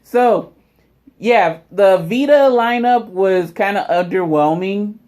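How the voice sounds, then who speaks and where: Slow talker, informal vlogger, casual commentary, medium pitch. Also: a little mellow. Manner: informal, addresses viewers as y'all. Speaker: vlog host. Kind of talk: casual unboxing vlog commentary.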